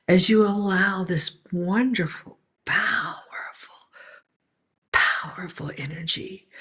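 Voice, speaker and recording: A woman guiding a healing meditation in a slow, breathy, half-whispered voice. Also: sits low, fairly bright tone. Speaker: meditation guide.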